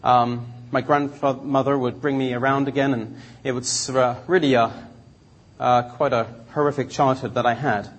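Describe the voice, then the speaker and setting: Husky Londoner telling his life story, a man. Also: mid-range voice, steady tone, normal speed. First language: Cantonese. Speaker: speaker giving personal testimony. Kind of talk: autobiographical storytelling to an audience.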